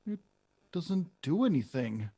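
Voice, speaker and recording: A woman's voice: deep voice, very animated, unhurried, warm tone, breathy edge, full character voice. A woman playing a big, clumsy, good-hearted man coaching someone in a cartoon script read, blunt and a little hesitant. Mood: explaining, unsure. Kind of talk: live animated-film table read.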